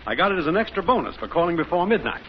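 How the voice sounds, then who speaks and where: An actor playing a boastful, cheerful middle-aged neighbor in a 1950s radio sitcom. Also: male voice, sits high, very animated, very fast. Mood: eager, showing off. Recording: muffled, noisy old radio recording.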